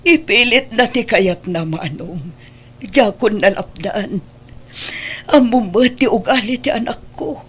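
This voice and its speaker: A woman playing a worried, sorrowful middle-aged mother in a Filipino radio drama, pleading and blaming herself in a husky voice. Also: on the high side, animated, unhurried, slight background noise. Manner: pleading, lamenting.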